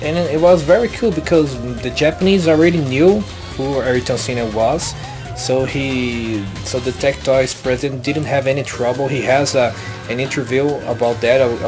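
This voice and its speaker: Young Brazilian man, Brazilian Portuguese accent, halting and earnest, casual home-made vlog. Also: mid-range voice. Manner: halting, many restarts and self-corrections, earnest. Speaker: YouTuber.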